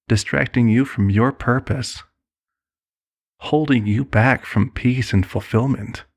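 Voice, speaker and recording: A man performing an ASMR roleplay as a serenely manipulative cult leader, soothing, condescending and persuasive. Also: medium pitch. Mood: soothing, self-assured.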